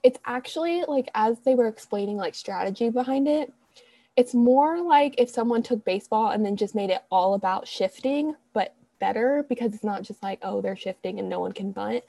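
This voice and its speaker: Chatty young woman, mellow dry voice. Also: fairly high pitch, moderate pace, creaky, dry recording. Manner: rambling, self-correcting, chatty with frequent fillers. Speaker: podcast co-host. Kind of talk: casual chat.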